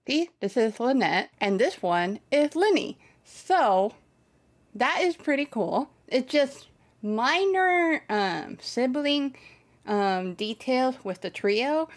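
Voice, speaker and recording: A young adult woman giving casual reaction commentary, haltingly searching for her words, recorded on a desk microphone indoors. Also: high-pitched, expressive. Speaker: reaction video host. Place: small bedroom setup, indoors. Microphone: desk microphone on boom arm.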